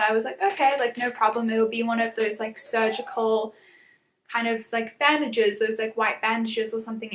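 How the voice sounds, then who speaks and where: Young female vlogger, slightly high voice, chatty and giggly, roomy echo. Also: a bit bright, slight background noise. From South Africa. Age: 20s. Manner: chatty, laughs often, many "um"s and "like"s. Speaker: YouTuber. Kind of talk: casual get-to-know-me chat. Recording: echoey room.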